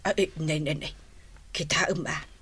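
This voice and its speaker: An actress with an Ilocano accent playing a gossipy, excitable woman neighbor in a comedy radio drama. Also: low-pitched, measured pace, slightly rough, heavily acted. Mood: nosy, excited. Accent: Filipino, Ilocano.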